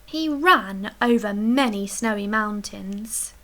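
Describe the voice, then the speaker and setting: A woman reading a children's picture book aloud as a storyteller, expressively, with dramatic emphasis and hushed, breathy dips. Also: medium pitch, takes it slowly. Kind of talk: expressive read-aloud bedtime story narration.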